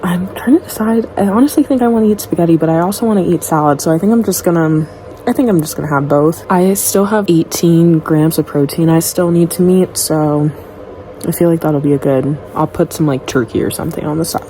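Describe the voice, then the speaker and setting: A woman's voice: lowish voice, fairly quick, dark tone, slight background noise, low-quality recording. A young woman from Dallas, Texas, whose first language is Igbo, chatting candidly and rambling to the camera in a casual vlog. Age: young adult. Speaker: vlogger.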